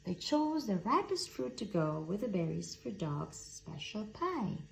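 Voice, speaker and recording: A woman reading a children's story aloud in expressive scripted narration. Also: medium pitch, measured pace, gravelly, a little hiss or hum. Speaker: storybook reader.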